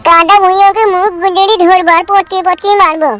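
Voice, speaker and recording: Woman playing a plucky, squeaky little boy. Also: steady tone, fast, fairly bright tone. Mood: fiercely boastful. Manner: bold, eager. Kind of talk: cartoon melodrama. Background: music.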